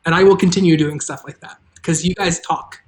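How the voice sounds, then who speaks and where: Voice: medium pitch, fairly even intonation, fairly quick. A host of an audio drama chatting casually and informally on a livestream, rambling a little from thought to thought.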